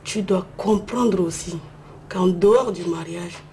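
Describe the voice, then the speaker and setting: A woman's voice: fairly low pitch, slow pace, a little mellow, a little hiss or hum. A woman playing a loving but firm, principled young girlfriend in an educational film drama. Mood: tender, firm. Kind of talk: scripted dialogue in an educational film.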